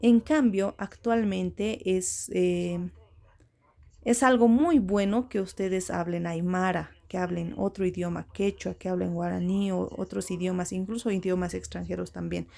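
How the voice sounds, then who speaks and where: Gravelly-voiced woman teaching a class. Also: lowish voice, steady tone, unhurried, mellow. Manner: patient, repeats and checks with "¿ya?". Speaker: schoolteacher. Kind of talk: classroom lesson to children.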